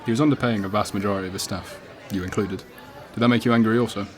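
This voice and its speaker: A man's voice: lowish voice, fairly even intonation, fast, natural voice. A man with a British accent playing a casual but persistent police detective in a radio play, questioning politely and insistently. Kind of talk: student radio drama acting. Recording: cafe crowd chatter behind.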